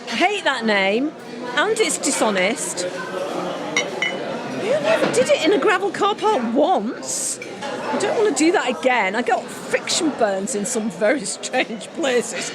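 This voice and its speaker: Woman playing a bawdy, high-voiced cheeky woman. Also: big pitch swings, measured pace, over a noisy crowd. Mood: bawdy indignant. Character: bawdy, cheeky woman with many past flings. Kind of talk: comic audio drama acting.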